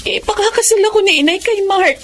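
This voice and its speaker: A woman's voice: extremely high pitch, fast talker, fairly bright tone, slight background noise. A Filipino woman playing a sorrowful, resigned young woman in a radio drama. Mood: sad, resigned.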